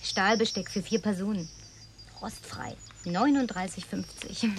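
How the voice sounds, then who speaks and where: A woman's voice: medium pitch, unhurried, slight background noise, close to an everyday voice. An actress playing a worldly, wry, indulgent city woman in a German radio play, with a teasing, cool, conversational manner. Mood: playful, relaxed.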